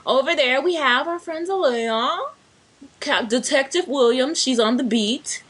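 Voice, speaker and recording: A woman's voice: high-pitched, a little slow, a bit bright, slightly rough. A woman co-hosting a podcast in playful casual chat, fond of breaking into song and silly voices. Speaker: podcast co-host.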